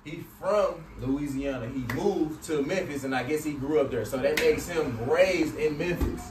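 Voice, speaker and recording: A man's voice: on the high side, normal speed, roomy sound, distant mic, over music. A man from California, arguing insistently with friends in casual talk. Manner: insistent, talking over others.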